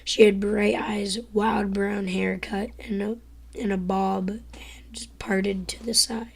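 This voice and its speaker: Hoarse teen girl reading a book on stream, whispery, keeps tripping on words, pretty flat. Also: mid-range voice, slow pace. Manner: whispery, stumbling over words, restarting lines. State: hoarse, lost voice. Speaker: streamer reading a novel aloud. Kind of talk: reading a book aloud live.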